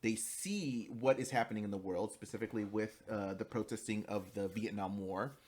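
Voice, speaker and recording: Young male student, low-pitched, hesitant with ums and restarts, presentation for class. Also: a little mellow, breathy edge. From United States. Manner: halting, many fillers and restarts; switches into fluent Spanish.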